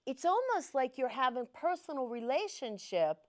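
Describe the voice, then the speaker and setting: A woman hosting a casual interview, telling stories in an animated, enthusiastic way. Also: high-pitched, moderate pace. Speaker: interview host.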